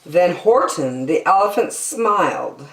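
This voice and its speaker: A woman's voice: lowish voice, animated, slow pace, reverberant, rough recording. A woman storyteller reading a children's picture book expressively.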